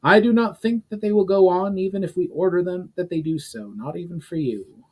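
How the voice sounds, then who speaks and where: Man playing a high-pitched, defiant army officer. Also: fairly quick, husky. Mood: firm. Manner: forceful, argumentative. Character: firm, argumentative military officer, respectful but defiant. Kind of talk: dramatic fiction reading.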